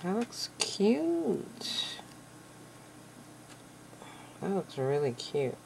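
A woman with a croaky voice, feeling like she's getting sick, musing aloud and gushing over her craft project at a table indoors. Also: on the low side, huge pitch swings, drawn-out words, background noise. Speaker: craft channel host. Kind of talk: casual crafting commentary.

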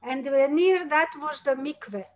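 An older woman speaking haltingly over a video call, with many fillers and restarts. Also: high-pitched. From Slovakia, Hungarian-speaking border town. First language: Hungarian. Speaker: interviewee, artist and Holocaust survivor's daughter. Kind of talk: video-call interview, showing slides. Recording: dull lo-fi call audio.

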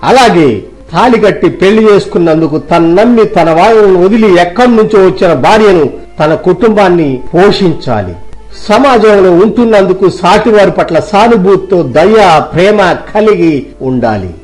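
A man's voice: high voice, expressive, moderate pace, a little mellow, faint music behind, low-quality recording. A man playing a self-righteous village employer who argues firmly and insistently in a dramatised moral story. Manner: argumentative, insistent.

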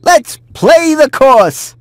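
A man's voice: very high-pitched, very animated, very slow, no echo, studio quality, heavily acted. A man voicing a jolly, boastful golfing mouse in a video game, with a hearty, showy manner. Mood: eager. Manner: showy, self-satisfied, hearty. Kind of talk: video game cutscene acting.

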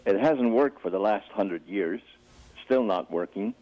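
A man's voice: lowish voice, a little slow, mellow. A man calling in to a live show, blunt and complaining. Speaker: caller. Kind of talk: phone-in call. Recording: phone line.

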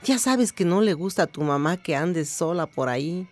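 A woman's voice: lowish voice, animated, moderate pace, darkish tone, natural voice. A woman playing a protective, worried old village grandmother in a radio drama, tender but admonishing.

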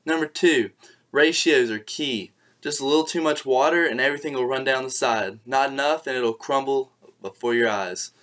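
A man's voice: mid-range voice, moderate pace, fairly bright tone. A young man in a bedroom giving upbeat tips straight to his webcam. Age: young adult. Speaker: student presenter. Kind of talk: presenting tips to camera. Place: bedroom, indoors.

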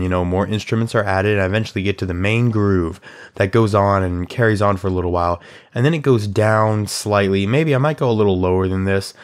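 Young male tutor, slightly raspy, lower register, laid-back conversational delivery, home desk mic. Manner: relaxed, chatty, filler-heavy explaining. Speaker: music production tutor. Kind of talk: casual tutorial walkthrough. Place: small room at home.

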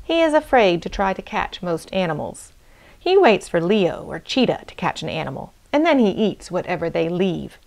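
A woman's voice: fairly low pitch, big pitch swings, normal speed, vocal fry, a little mellow. Woman as a kindly, reassuring father chimpanzee. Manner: explaining patiently to a little bear.

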